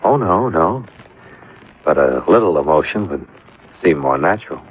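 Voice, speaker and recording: Low-voiced hard-boiled radio detective. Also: male voice, expressive, moderate pace, slightly rough, full character voice. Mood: sardonic, probing. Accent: American. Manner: dry, sarcastic, clipped questioning. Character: hard-boiled, sardonic male insurance investigator narrating his case. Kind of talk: 1950s American radio detective acting. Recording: muffled vintage radio recording.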